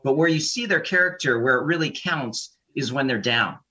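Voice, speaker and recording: Man, sports chaplain, bright forceful tone, raspy, reflective. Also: medium pitch, lively intonation, normal speed. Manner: reflective, moralizing commentary. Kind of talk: casual panel discussion.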